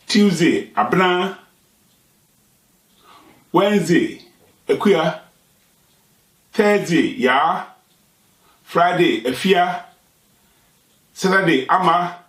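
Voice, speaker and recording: A man from Ghana whose first language is Twi, speaking English with a Ghanaian accent as the host of a satirical talk show, unhurried and explanatory with pauses and restarts. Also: on the high side, fairly bright tone, roomy sound, distant mic.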